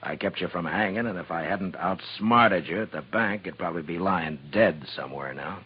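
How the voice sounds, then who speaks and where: An actor playing a steady, authoritative frontier marshal in a 1950s radio Western, speaking in a terse, firm way. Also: male voice, sits low, moderate pace, husky. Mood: reasoning. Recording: muffled, hissy 1950s radio recording.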